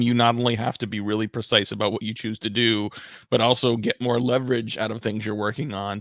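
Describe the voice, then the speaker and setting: A man's voice: medium pitch, a bit bright, no echo, clean studio sound. A man hosting a live online interview, asking friendly, upbeat questions with a few fillers. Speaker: interview host. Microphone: podcast-style microphone.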